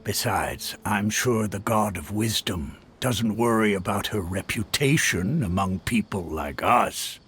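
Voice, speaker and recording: An actor playing a genial, worldly retired mercenary advisor in a video game, hearty and matter-of-fact. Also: male voice, lowish voice, expressive, measured pace, few pauses, fairly bright tone. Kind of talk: video game cutscene acting. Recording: background music under voice.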